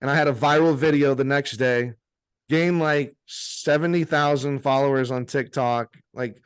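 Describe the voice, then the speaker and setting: A man's voice: mid-range voice, steady tone, moderate pace, clean tone. A young adult man, a meditation teacher and guest, chatting casually with lots of "like" and swearing. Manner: casual, heavy use of "like" and swearing. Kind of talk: casual interview chat.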